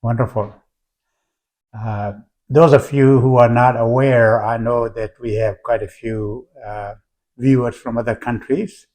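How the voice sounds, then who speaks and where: An older man, a retired professor, interviewing a guest in a measured, formal way with frequent hesitations. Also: mid-range voice, steady tone, darkish tone.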